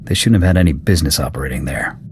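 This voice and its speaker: An actor playing a gruff, terse soldier in a video game, speaking in short, blunt military sentences. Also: male voice, a bass voice, racing, background noise. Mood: grim, troubled. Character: gruff, terse male soldier, loyal ex-sniper squadmate. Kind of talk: scripted video game cutscene acting.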